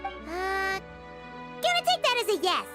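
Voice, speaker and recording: A woman voicing a small, chirpy, talkative fairy-like girl companion with a childlike squeak in a video game cutscene. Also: big pitch swings, unhurried, over music, exaggerated voice. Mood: curious. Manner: speaks of herself in third person, exclamatory.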